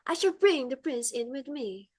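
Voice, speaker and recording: A woman's voice: sits high, lively intonation, moderate pace, a little mellow. A woman playing a flustered, imperious society mother in a stage comedy, with emphatic, theatrical exclamations. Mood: awestruck, excited. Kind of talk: comedic stage-play acting.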